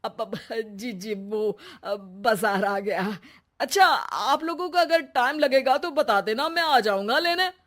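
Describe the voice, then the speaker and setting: A woman's voice: on the high side, animated, measured pace. A woman voicing a flirtatious, fawning husband in a cartoon comedy, stammering and hesitant. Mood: flustered. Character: flustered, flirtatious middle-class husband, a fawning man. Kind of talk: Hindi cartoon comedy acting.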